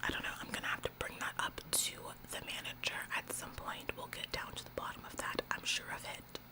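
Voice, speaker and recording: A woman's voice: a little fast, lightly acted, poor audio. A woman in a whispered ASMR roleplay, playing a bossy, officious store employee who repeats phrases for emphasis. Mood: conspiratorial. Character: bossy, overzealous female retail store employee, falsely apologetic. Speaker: ASMR performer.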